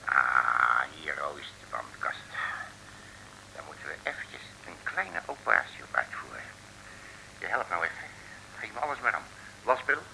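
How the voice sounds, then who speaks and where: An actor playing a grumpy, bungling detective turned burglar, muttering nervous orders in a vintage Dutch radio comedy. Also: male voice, extremely low pitch, lively intonation, moderate pace, creaky, long pauses, exaggerated voice. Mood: nervous, businesslike. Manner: nervous, bossy, muttering grumbler. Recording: old radio recording with faint noise.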